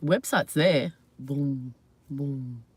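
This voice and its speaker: A woman hosting her own channel, chatting casually and at length to her viewers, slightly hoarse, rambling and laughing often. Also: rumbling low, slow pace, darkish tone. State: hoarse from screaming. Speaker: channel host. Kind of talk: casual live vlog chat to viewers.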